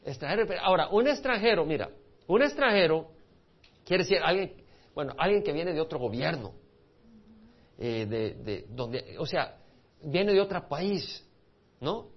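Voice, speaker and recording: A man's voice: on the high side, very animated, a little slow, long pauses, breathy edge. A man from El Salvador with a Salvadoran Spanish accent, a pastor teaching a Bible study in a conversational way and asking his listeners questions. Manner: conversational, asks the audience questions, restarts words. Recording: dull lo-fi recording.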